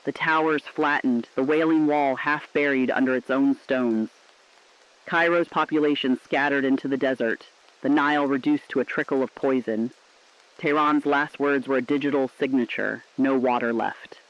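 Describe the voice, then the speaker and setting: Dull, band-limited audio carries a man acting as a solemn radio correspondent with a medium-pitched voice. He reports humanity's collapse in grave, deliberate, poetic scripted narration. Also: fairly even intonation, a bit bright, close to an everyday voice. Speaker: radio broadcaster.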